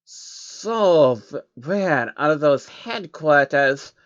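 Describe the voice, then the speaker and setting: A man's voice: fairly high pitch, animated, very slow, fairly bright tone, no echo, lo-fi sound, exaggerated voice. A man voicing a cheeky, nervous changeling creature from another world in a whiny, questioning way for a story reading. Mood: confused, indignant.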